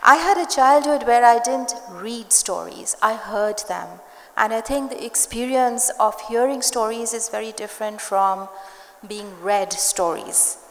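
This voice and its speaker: Older woman, native Hindi speaker, thoughtful panel author, slightly high voice, reverberant hall. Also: unhurried, a bit bright. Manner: reflective, personal storytelling. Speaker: children's author and translator. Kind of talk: panel discussion answer. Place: literary festival stage. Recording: echoey hall with faint ambient noise.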